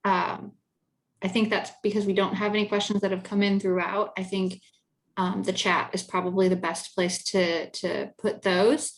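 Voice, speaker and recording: A woman's voice: medium pitch, little pitch movement, moderate pace. A woman moderating an informal online panel discussion, chatting casually with many fillers and restarts over a video call. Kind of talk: casual virtual panel chat.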